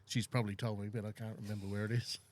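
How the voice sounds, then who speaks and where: A man hosts a relaxed podcast interview in a small studio. He talks in a friendly, chatty way and laughs off his own slips. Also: on the low side, quick pace. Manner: friendly, chatty, self-deprecating. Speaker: podcast host. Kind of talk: casual interview chat.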